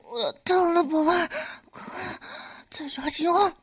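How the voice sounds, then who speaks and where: A teasing, doting older lover, played by a woman murmurs in a husky, breathy whisper that sits close and hushed. The voice is slightly high and drawn out very slowly, every line unhurried and coaxing. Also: full character voice. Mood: heated. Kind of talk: whispered intimate audio roleplay.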